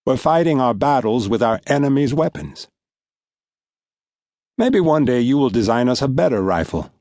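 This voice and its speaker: A man's voice: mid-range voice, very animated, moderate pace, a little mellow, dry recording, clean studio sound. A man voicing a middle-aged guerrilla commander in an audiobook, stern and mocking, with a commanding, taunting delivery. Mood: wry, measured. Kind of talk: acted audiobook dialogue.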